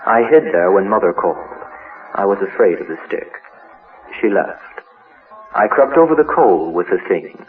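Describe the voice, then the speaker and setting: A man's voice: low-pitched, expressive, moderate pace. A man voicing a chained, hurt monster child in a horror monologue, telling its story in simple, broken childlike sentences. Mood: fearful, secretive. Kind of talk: first-person dramatic horror monologue. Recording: noisy, tinny narrow-band radio-like sound.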